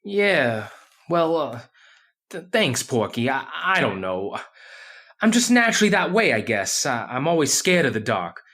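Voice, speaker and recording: A man playing a skinny, jittery oil rig geologist in an old-time radio horror drama, speaking in a nervous, halting way. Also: mid-range voice, sing-song, a bit bright.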